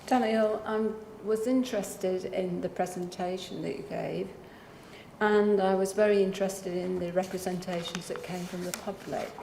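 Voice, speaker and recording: Woman, councillor, polite, hesitant, gravelly, echoey room. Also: mid-range voice, fairly even intonation, moderate pace, dark tone. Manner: polite, hesitant, many fillers. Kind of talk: formal question in a council meeting.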